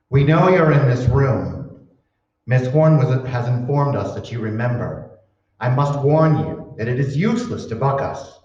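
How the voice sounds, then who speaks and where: A man acts out a coldly courteous radio-drama villain with a mellow, dark voice and swooping intonation. He is formal and condescending. The sound is distant and very reverberant. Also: mid-range voice. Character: smug, coldly polite villainous research institute director. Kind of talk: old-time radio drama acting. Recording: echoey hall, distant dull mic.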